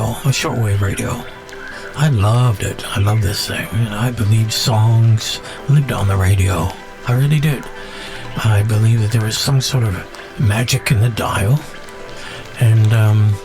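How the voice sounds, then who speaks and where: A man hosting a podcast in a reflective, unscripted monologue, speaking haltingly and musingly and repeating words for emphasis. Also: fairly low pitch. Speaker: podcast host.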